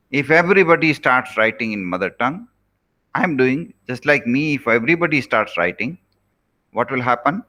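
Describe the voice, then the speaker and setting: Indian professor guy lecturing, earnest, says things twice, bright voice that moves around a lot. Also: mid-range voice. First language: Rajasthani. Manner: earnest, repeats key phrases, pleading. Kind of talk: recorded lecture to students.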